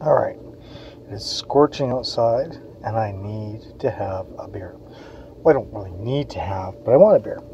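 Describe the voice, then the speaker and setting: A man's voice: lowish voice, lively intonation, a little slow, muffled recording, background noise. A man from Western Canada, a parent, giving a casual, chatty beer review on an outdoor patio. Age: adult, parent of kids. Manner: chatty, informal, reads label aloud.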